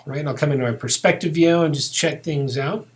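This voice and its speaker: A man giving a casual step-by-step software tutorial, thinking aloud informally as he works. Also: fairly high pitch, a little fast.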